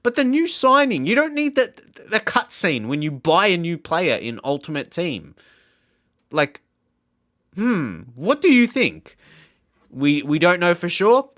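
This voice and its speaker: Clear-voiced man rambling through casual commentary. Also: fairly high pitch, a bit bright, no echo, studio quality. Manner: rambling, halting, informal. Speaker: gaming channel host. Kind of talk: casual off-the-cuff reaction commentary.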